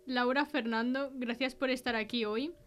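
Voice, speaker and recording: A woman podcast host with an accent from Spain and a slightly high voice. She presents and puts questions to guests in an interview style, with faint music underneath. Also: steady tone, normal speed. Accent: Spain Spanish. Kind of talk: hosting a mystery interview podcast.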